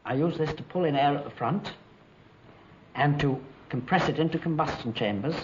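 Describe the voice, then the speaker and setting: A man's voice: fairly high pitch, normal speed, lightly acted. A man acting an earnest, modest British inventor in a 1950s docudrama, speaking in a clipped way. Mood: earnest, explanatory. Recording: dull, noisy vintage film soundtrack.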